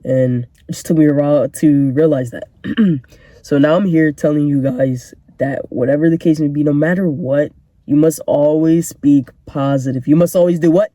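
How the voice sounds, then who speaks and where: A young man with a stuffed-up, congested voice, talking in a casual solo podcast. His tone is very dark and mellow, and he speaks close to the mic in a dry room. He sounds earnest and encouraging, with joking asides. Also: fairly high pitch, normal speed, dry recording. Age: young adult. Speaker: podcast host.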